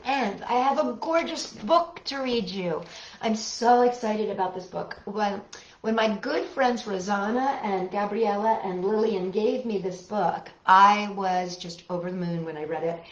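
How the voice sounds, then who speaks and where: A woman's voice: medium pitch, unhurried. A woman hosting storytime for young children, talking, reading and singing in a playful, encouraging way. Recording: echoey room, dull lo-fi audio.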